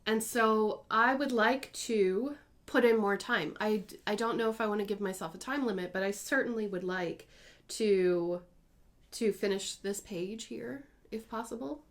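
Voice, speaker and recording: A woman in her thirties chats at home about her stitching projects. She rambles and corrects herself as she goes, in an easygoing, conversational way. Her voice sits at a middling pitch. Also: measured pace. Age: 30s. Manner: chatty and rambling, with self-corrections. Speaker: cross-stitch vlogger. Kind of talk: casual chatty crafting vlog.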